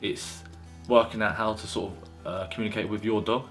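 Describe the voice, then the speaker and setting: A man in his thirties with a monotone, mumbling way of talking, interviewed indoors about learning with his guide dog. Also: fairly low pitch, brisk, faint music behind. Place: indoor room by blinds.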